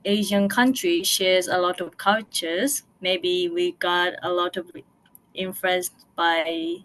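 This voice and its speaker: A woman's voice: fairly low pitch, fairly even intonation, a bit bright, poor audio. A young woman from Japan, interviewed on a video call, answering hesitantly and searching for her words. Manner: halting, hesitant, searching for words with many fillers.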